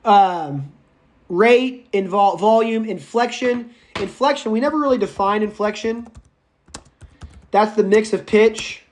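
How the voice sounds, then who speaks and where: Male instructor, raspy voice, loud and projecting, chatty lecture with tangents, no microphone. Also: high-pitched, unhurried, a little hiss or hum. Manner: speaks loudly, projecting as if shouting; enthusiastic, goes off on tangents and laughs. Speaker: college public speaking instructor. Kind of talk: live online class lecture, chatty and digressive. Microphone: no microphone, voice caught by the room recorder.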